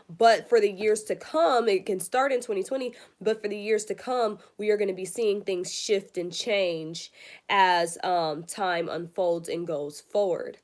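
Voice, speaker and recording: A woman hosting a casual spiritual livestream, a little congested, talking straight to her viewers. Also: mid-range voice. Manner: chatty, addresses viewers directly. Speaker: astrology livestream host. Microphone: phone or webcam, live stream.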